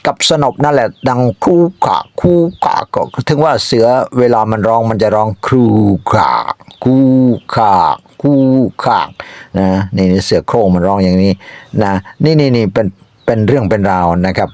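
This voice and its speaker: A man telling an animal folk tale informally, in halting, repetitive phrases with spoken sound effects. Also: fairly low pitch, expressive, darkish tone, no echo. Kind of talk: informal, improvised animal storytelling.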